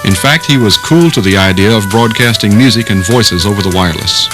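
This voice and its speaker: A man's voice: on the low side, fairly quick, over music. A man reading scripted documentary narration.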